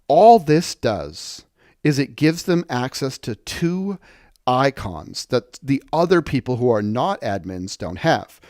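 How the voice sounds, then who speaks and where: A man giving an unscripted software walkthrough, explaining step by step and often rephrasing his points. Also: mid-range voice, no room sound, clean studio sound. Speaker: software tutorial presenter. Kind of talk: unscripted screen-share walkthrough.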